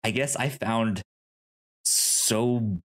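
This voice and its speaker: A man co-hosting a podcast, discussing films casually in a halting, rambling way with easy laughter. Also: medium pitch. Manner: rambling, stammering, laughs easily. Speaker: podcast co-host.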